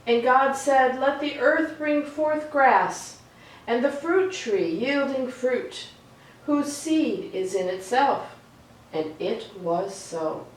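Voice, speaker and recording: Lady doing story time for kids, takes it slow and expressive, super echoey and kinda far from the mic. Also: high-pitched. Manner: slow, deliberate, expressive phrasing. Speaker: children's story reader. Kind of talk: read-aloud storytime with chatty asides. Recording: echoey room, distant mic, faint noise.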